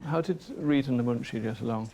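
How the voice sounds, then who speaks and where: A man's voice: mid-range voice, a little fast, warm tone, muffled recording. A man telling stories and putting questions as a documentary presenter. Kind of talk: storytelling and interviewing on location.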